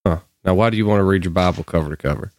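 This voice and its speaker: A man co-hosting a podcast, teasing and telling quick stories. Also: low voice, no room sound. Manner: teasing, animated storyteller. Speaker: podcast co-host. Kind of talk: casual banter.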